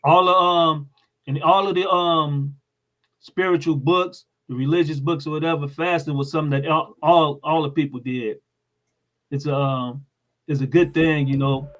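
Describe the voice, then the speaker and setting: A man's voice: a little high, fairly even intonation, normal speed, a bit bright. An American man speaking as a guest in an interview, explaining things in a plain-spoken, informal way. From United States.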